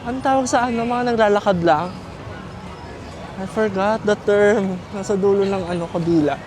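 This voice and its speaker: A man's voice: sits high, moderate pace. A Filipino man chatting casually and ramblingly as a travel vlogger, mixing in English, with a Filipino accent. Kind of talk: casual vlog chat. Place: outdoors on busy city streets. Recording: noisy outdoor street, traffic and crowds. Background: street crowd, vehicles.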